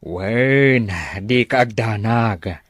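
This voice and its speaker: An actor playing a gentle, reassuring older father in a radio drama. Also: mid-range voice, drawn-out words, no echo, studio quality.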